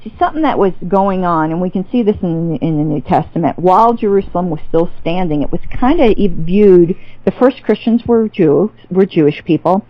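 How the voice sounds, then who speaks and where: A woman with a fairly low voice, thinking aloud as she answers and often stopping to restart a sentence. The sound is dry and close, with a slightly muffled, lo-fi tone. She talks in a casual podcast-guest manner. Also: expressive, a little fast, hardly any pauses, no echo. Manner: thoughtful, many restarts and fillers, laughs easily. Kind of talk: casual theological interview.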